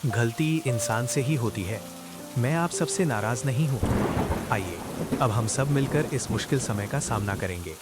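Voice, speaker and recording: Humble, forgiving villager , male actor, cartoon fable: mid-pitched, earnest, loud music and rain behind. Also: lively intonation, a little slow, close to an everyday voice. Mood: forgiving, resolute.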